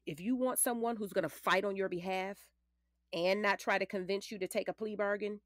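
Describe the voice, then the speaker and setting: A woman's voice: medium pitch, steady tone, a little fast, a bit bright, no echo, clean studio sound, natural voice. A woman playing a confident, matter-of-fact lawyer in her late forties in an audio drama, firm, precise and commanding. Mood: insistent.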